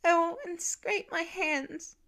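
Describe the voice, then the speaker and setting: A sweet, frightened little boy with a high, whiny voice, acted by a woman reading an audiobook. He speaks haltingly and tearfully, as if apologizing through his blubbering. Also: a bit bright, exaggerated voice. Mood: whining. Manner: halting, blubbering childlike speech. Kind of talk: audiobook character acting.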